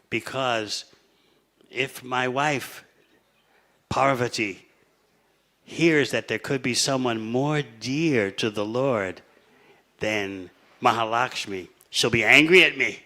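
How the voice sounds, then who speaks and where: A mighty male god, as a man puts him on, drops his voice to a hushed, confiding murmur, pitched lower than his own speech. He speaks slowly and cautiously, like someone afraid of being overheard. The tone keeps a bright edge even when quiet.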